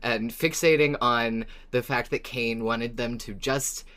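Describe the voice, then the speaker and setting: A man's voice: medium pitch, fairly even intonation, measured pace, fairly bright tone. A man co-hosting a podcast in casual, easily amused chat. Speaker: podcast co-host.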